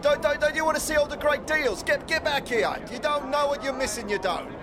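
Man playing a pushy high-voiced market peddler. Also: fairly quick. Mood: desperate. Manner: hawking, repetitive, stammering insistence. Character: pushy, fast-talking male market peddler, eager and shifty. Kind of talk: fantasy audio drama acting. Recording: busy market crowd noise.

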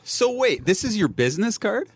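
A man's voice: high-pitched, sing-song, normal speed, husky. A young man hosting a podcast, interviewing his guest in a joking, casual way and laughing often. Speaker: podcast host.

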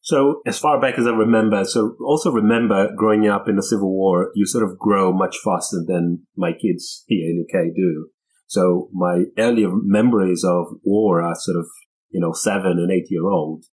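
A man's voice: low voice, steady tone, normal speed, darkish tone, low-quality recording. A middle-aged man from Angola speaking as a podcast guest, telling his life story in a reflective, conversational way.

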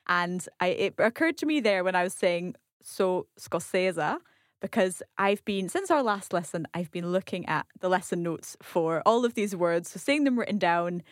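A woman's voice: medium pitch, no room sound, studio quality. Curious Scottish woman learner. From Scotland. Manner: curious, asks follow-up questions, repeats phrases back. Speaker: language learner co-host. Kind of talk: language lesson dialogue.

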